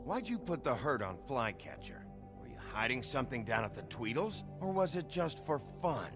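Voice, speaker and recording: A man playing a blunt, world-weary American sheriff in a noir video game, gruff and terse, with music behind. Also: mid-range voice, sing-song, moderate pace, close to an everyday voice. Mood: confrontational. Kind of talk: video game character acting.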